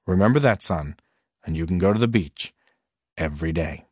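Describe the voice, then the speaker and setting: Male narrator doing a firm, lowered dad voice, lecturing and impatient, dry close sound. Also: lively intonation, normal speed, darkish tone, slightly rough, no room sound. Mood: stern. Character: stern, busy father of a young boy.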